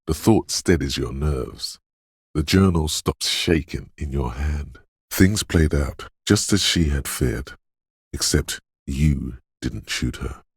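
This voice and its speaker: A man playing a detective's ominous, dreamy intuition in a video game, speaking in a hushed, portentous, theatrical way with dramatic pauses and a gravelly, husky voice. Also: rumbling low, dry recording, clean studio sound, full character voice. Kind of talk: video game voice acting, second-person inner monologue.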